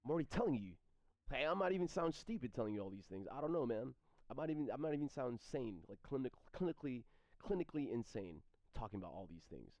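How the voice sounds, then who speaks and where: A man in his thirties, streaming and rambling through questions from chat, halting and repeating his words as he goes. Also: mid-range voice, big pitch swings, darkish tone, no room sound. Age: 30s. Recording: dry, slightly dull stream mic audio.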